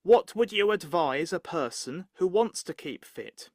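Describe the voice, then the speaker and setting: A recorded examiner voice reads test questions slowly and clearly. The pitch rises and falls in a lively way, and the sound is dry and close. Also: dry recording. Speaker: exam question reader. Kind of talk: scripted exam questions.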